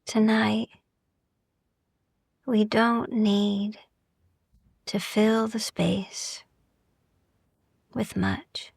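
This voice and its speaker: A woman guiding a sleep meditation in a soft, gentle, soothing ASMR style, hushed and often slipping into whispers, with long pauses. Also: medium pitch, fairly even intonation, vocal fry, slightly rough, no echo, clean studio sound. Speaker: sleep meditation podcast host.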